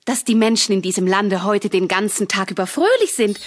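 A woman's voice: mid-range voice, animated, brisk, no echo, studio quality, lightly acted. A woman playing a prim, dutiful good fairy in a children's radio play, moralizing and easily put out.

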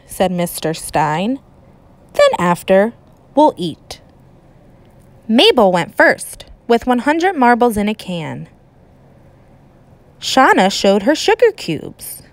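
A woman with a high, very lively voice reads a picture book aloud like a teacher to young children. She goes slowly, with expressive emphasis and dramatic pauses, in a dry, close recording. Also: dry recording. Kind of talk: animated children's storybook read-aloud.

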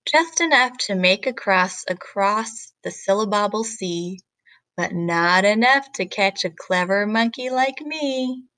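A woman's voice: medium pitch, animated, unhurried, sharp tone, dry recording. Lady reading as sly little girl monkey in a picture book, bold rhymey lines, dry sound. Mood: scolding, cheeky. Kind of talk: storybook character reading.

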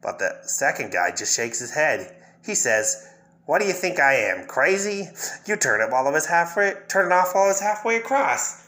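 Crazy clown villain from a comic, bright edgy voice, taunting and erratic. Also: male voice, on the high side, sing-song, normal speed. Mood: wistful, darkly amused. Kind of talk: fan dramatic reading of a comic.

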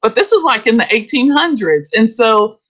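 A middle-aged woman historian speaking as a guest on a video call, telling stories in an animated way that often breaks into laughter. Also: on the high side, normal speed. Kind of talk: casual interview chat. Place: home room with bookshelves, indoors.

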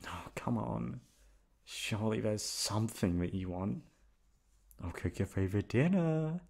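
A man's voice: lowish voice, animated, a little slow, creaky, a little mellow, husky. A man acting as a teasing, affectionate boyfriend in an intimate ASMR roleplay, coaxing and pleading playfully. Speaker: voice actor performing an audio roleplay.

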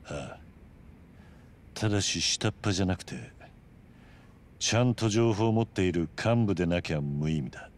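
An actor playing a stoic, commanding middle-aged tough guy in a video game, talking in a terse, grave, deliberate way. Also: sits low, a little hiss or hum, close to an everyday voice. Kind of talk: Japanese video game cutscene acting.

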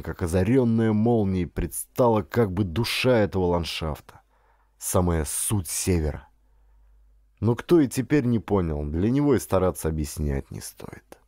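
A man reading an adventure novel as a dramatic audiobook narrator, in an expressive, deliberate storytelling manner. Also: low-pitched, no echo, clean studio sound.

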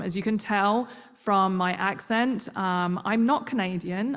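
A woman, a scientific director presenting slides on a video call in a friendly, measured way with frequent ums. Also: mid-range voice, clear, resonant tone. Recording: roomy reverb.

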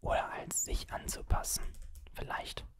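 A video host whispering the whole time while playing a word-guessing game, listing guesses, musing, and grumbling playfully at the computer. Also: a little slow. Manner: whispers throughout, guessing words aloud and musing. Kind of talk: whispered gaming commentary.

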